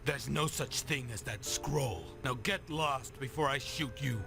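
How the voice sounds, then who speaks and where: A man playing a gruff, dismissive armed soldier in a visual novel, with music behind him. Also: mid-range voice, measured pace. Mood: scornful.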